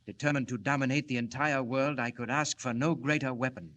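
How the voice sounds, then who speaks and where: An actor playing an earnest, secretive scientist who explains formally and deliberately in 1940s radio style. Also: male voice, mid-range voice, fairly even intonation, fairly bright tone, natural voice. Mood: proud, grave. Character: earnest, proud, secretive male scientist inventor.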